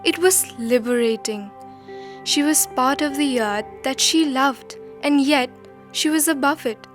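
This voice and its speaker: A woman narrating a scripted documentary drama in a measured, solemn storytelling manner. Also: sits high, soft background music.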